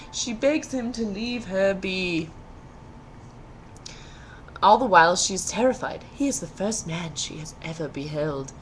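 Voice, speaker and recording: A woman's voice: medium pitch, slight background noise, rough recording. A woman storyteller reading a fairy tale aloud in a playful, theatrical way, with casual humor.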